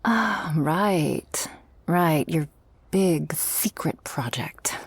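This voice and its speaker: Shark girl mistress played by a woman for ASMR roleplay: low-ish, breathy, tender, protective, commanding. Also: lively intonation, no room sound, exaggerated voice. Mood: affectionate, curious. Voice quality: breathy, often dropping to a whisper. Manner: slow, teasing, tender and commanding. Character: affectionate, protective, dominant shark girl mistress with a troubled past. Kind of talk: intimate ASMR roleplay to the listener.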